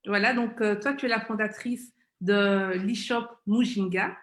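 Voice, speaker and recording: A woman hosting a live interview show, speaking in halting, repetitive phrases. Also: medium pitch, steady tone. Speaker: webmagazine founder and show host. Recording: roomy, echoing live-stream sound.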